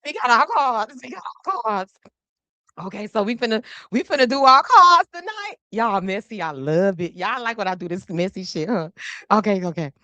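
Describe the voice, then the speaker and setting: A woman's voice: medium pitch, very animated, moderate pace, sharp tone, dry recording. A woman hosting a casual political commentary livestream, chatty and teasing, who swears freely and laughs often. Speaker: podcast host.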